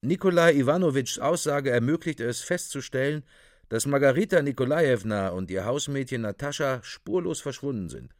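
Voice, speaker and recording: A man with a mid-pitched voice reads radio drama narration like an official report. He is deliberate and matter-of-fact, recorded close and dry. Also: animated, no echo. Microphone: close studio microphone.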